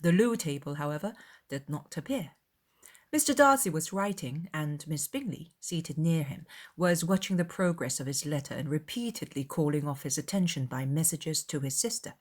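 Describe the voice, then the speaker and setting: A woman's voice: low voice, animated, normal speed, a little mellow. A woman reading a scripted literary narration as an audiobook narrator.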